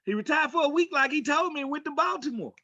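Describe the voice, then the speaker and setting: High-pitched, bright-voiced man telling sports stories. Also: quick pace, dry recording, lo-fi sound. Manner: animated storyteller, acts out quoted dialogue, emphatic. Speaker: sports talk show co-host, former pro football player. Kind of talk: casual sports talk and storytelling.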